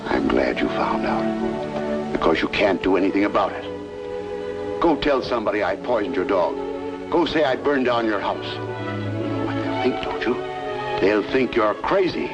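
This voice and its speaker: A man's voice: fairly low pitch, big pitch swings, normal speed, long pauses. An actor playing a bitter, vengeful older man, once a father figure, in an accusing, theatrical old-time radio noir style. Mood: cold, gloating. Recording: old radio recording with music behind.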